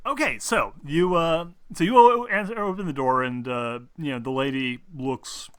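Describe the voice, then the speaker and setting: A man narrating a tabletop roleplaying game as game master, in an informal, halting, sweary way. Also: on the high side, a bit bright, smooth, dry recording.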